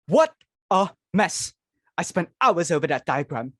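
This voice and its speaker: A woman's voice: fairly low pitch, sing-song, unhurried, fairly bright tone, dry recording, studio quality, exaggerated voice. A woman playing an imperious, frantic, self-important society hostess and domineering mother in a dramatic reading of a stage comedy. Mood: fretful, bossy. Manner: theatrical, self-important, exclamatory.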